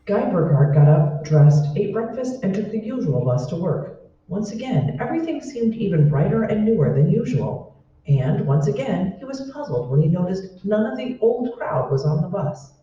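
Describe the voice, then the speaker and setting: Very dark-voiced male narrator, echoey. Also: high voice, big pitch swings, moderate pace. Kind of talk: scripted radio drama narration. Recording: roomy, muffled, distant recording with noise.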